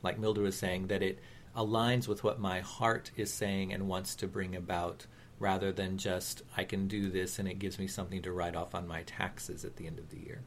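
Low-voiced man over noisy rumble. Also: little pitch movement, normal speed. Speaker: radio show co-host. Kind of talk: conversational, reflective talk radio.

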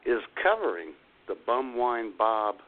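A man's voice: mid-range voice, sing-song, a little slow. A man hosting a radio show, chatting casually and introducing segments. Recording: dull lo-fi audio.

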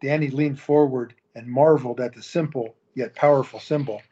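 A man narrating an audio drama in a measured storytelling manner. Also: mid-range voice, steady tone, warm tone, slightly rough. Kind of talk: scripted narration. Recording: dull lo-fi recording.

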